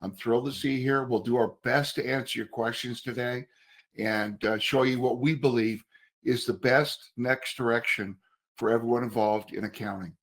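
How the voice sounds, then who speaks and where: A man in his fifties, an accountant introducing himself on a webinar in an earnest, friendly, deliberate way. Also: mid-range voice, steady tone. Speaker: accountant guest.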